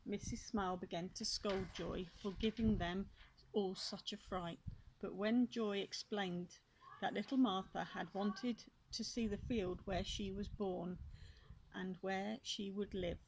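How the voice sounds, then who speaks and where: An older woman reading a children's story aloud, expressively, with gently chatty asides to young listeners. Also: medium pitch, steady tone, unhurried, low-quality recording. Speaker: grandmother reading a children's book. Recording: clear background noise and clicks.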